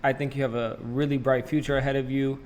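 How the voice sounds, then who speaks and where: A man hosts a relaxed interview and asks friendly questions at an unhurried pace. He pauses and restarts now and then as he talks. His pitch is ordinary, set in the middle of the range. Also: over music. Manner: friendly, easygoing questions with hesitations. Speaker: interview host. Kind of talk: casual interview chat.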